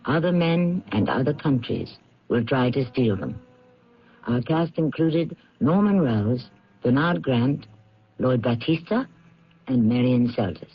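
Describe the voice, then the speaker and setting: A man hosting a radio mystery series, narrating in a measured, formal, rhetorical way on a dull old broadcast recording. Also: on the high side, a little hiss or hum. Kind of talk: scripted radio drama narration.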